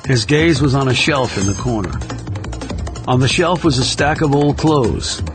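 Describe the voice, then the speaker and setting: A male narrator reads a thriller audiobook in a mid-range voice. The delivery is scripted storytelling, with clearly audible music underneath. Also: medium pitch, moderate pace. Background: music under the reading.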